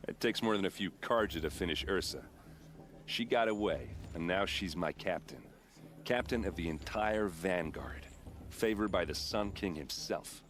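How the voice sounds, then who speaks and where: A man's voice: low-pitched, moderate pace, fairly bright tone. An actor playing a friendly, chatty young warrior who boasts about his tribe and his steel armor. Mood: boastful. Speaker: soldier in a king's vanguard. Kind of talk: video game cutscene dialogue. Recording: game audio with ambient noise.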